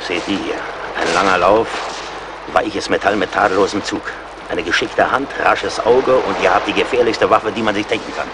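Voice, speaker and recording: Seasoned frontier scout played by a man, low-pitched, sardonic and commanding, radio drama with battle noise behind. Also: fairly even intonation, moderate pace, lightly acted. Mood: commanding, combative. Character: seasoned, relentless male frontier scout, grimly practical and loyal. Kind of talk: German radio play acting.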